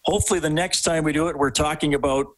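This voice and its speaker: A man's voice: on the high side, steady tone, quick pace. A man hosting a sports radio show in a casual, conversational style.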